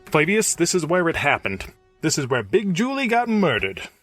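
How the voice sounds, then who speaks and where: An actor playing an eager, excitable Roman senator with slangy, earnest delivery in old-time radio comedy style. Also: male voice, on the high side, normal speed, no room sound, faint music behind, exaggerated voice.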